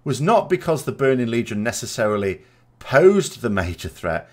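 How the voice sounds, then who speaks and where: A man's voice: mid-range voice, sing-song, normal speed. A man giving unscripted commentary as a gaming vodcast host, explaining emphatically and posing rhetorical questions.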